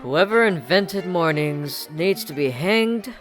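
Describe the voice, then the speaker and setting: Guy playing a sullen sleepy young creature prince, slow sarcastic grumble, faint music behind. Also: fairly high pitch, expressive, a bit bright. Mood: groggy, grumpy. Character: grumpy, sleepy young male changeling prince. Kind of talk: scripted audiobook character dialogue.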